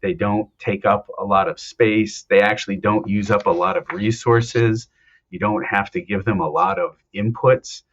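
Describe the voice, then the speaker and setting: A male professor from Ohio, answering interview questions in a relaxed, explanatory way, pausing often with ums. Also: on the low side, lo-fi sound, a little hiss or hum. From Ohio, United States. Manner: explanatory, hesitant with many ums. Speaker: anthropology professor, guest. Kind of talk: conversational interview.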